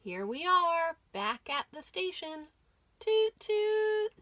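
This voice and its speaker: A woman hosting a children's storytime, reading aloud with an expressive, deliberate delivery for young listeners. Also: very high-pitched, dry recording. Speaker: children's librarian and storytime host.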